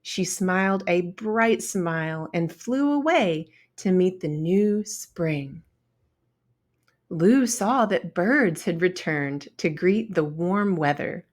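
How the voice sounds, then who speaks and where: Slow, expressive woman reading a children's story. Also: lowish voice. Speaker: storybook narrator. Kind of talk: expressive children's read-aloud narration.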